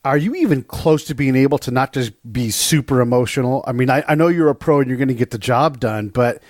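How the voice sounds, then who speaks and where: A man co-hosts a podcast, asking the guest long, rambling questions. His voice sits at an ordinary middle pitch. The recording is dry, with no room echo. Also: fast talker, a little mellow. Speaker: podcast co-host. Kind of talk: casual interview questions.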